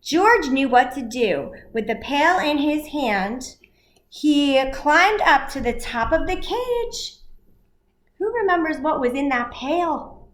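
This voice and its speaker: A woman with a high voice reads a picture book aloud to small children. She takes her time over simple phrases, like a school educator addressing young listeners. The room adds a noticeable echo. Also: lively intonation, slow. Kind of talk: children's storybook read-aloud.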